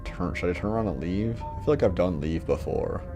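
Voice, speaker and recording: A man's voice: low voice, brisk, music in the background. A man giving casual, crude commentary while playing a game, rambling with lots of fillers. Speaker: let's play streamer.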